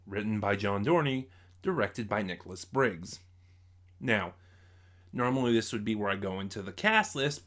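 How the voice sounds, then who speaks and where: A man presents a casual review in a medium-pitched voice. He talks as a show host addressing his viewers, in a halting way, often doubling back to reword himself. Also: fairly bright tone. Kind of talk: informal audio drama review to camera.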